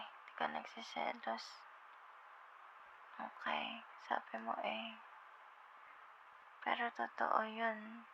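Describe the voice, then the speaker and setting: Woman acting as drowsy filipino girlfriend, asmr sleep call, super slow n creaky, tender, muffled w background noise. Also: fairly high pitch, heavily acted. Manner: drowsy, halting, murmuring, tender. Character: sleepy, affectionate young girlfriend on a late-night call. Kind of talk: intimate ASMR girlfriend roleplay.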